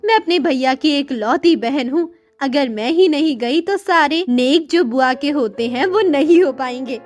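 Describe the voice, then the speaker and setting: A woman's voice: high-pitched, expressive, a little slow, darkish tone. A woman playing a humble, devoted poor sister in a moral-story cartoon, earnest and deferential. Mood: happy, hopeful.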